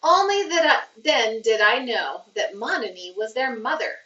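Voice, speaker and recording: Female read-aloud narrator for children's fiction, expressive and crisp-edged bright tone, recorded a little distant and dull. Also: a little high, moderate pace. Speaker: teacher reading aloud. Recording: roomy, muffled, distant microphone.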